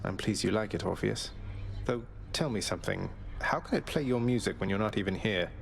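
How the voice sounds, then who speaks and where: A low-voiced, wry underworld prince. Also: male voice, animated, brisk, creaky. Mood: courteous. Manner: dry, polite, sardonic. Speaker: video game voice actor. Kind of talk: scripted video game dialogue.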